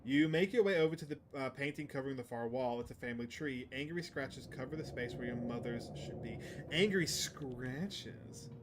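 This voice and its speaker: A man's voice: medium pitch, quick pace, hardly any pauses. Male let's-player, mid-range voice, chatty, reads game text to viewers, slight noise on the recording. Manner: chatty, addresses stream chat. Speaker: video game streamer. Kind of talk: live let's-play commentary mixed with reading game text aloud.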